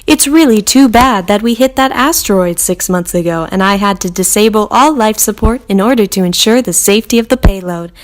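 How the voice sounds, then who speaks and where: A woman's voice: medium pitch, fairly quick, few pauses, natural voice. A woman voicing a polite, cheerful spaceship computer making courteous, unbothered announcements in a sci-fi monologue. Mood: cheery. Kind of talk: scripted sci-fi character monologue.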